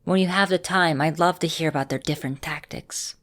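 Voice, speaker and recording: Woman acting as vampire mistress, female, lowish voice, caring mentor, composed, formal phrasing, ASMR roleplay. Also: a little fast, fairly bright tone. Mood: calm, instructive. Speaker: voice actor in a roleplay audio. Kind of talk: ASMR roleplay, speaking to the listener.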